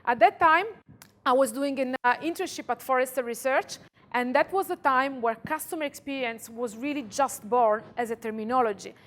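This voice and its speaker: A woman from Italy with an Italian accent, giving a conference talk and guiding the audience through a calm visualization. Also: sits high. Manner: calm, slow guiding voice, speaks to listeners directly. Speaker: conference speaker. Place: conference room.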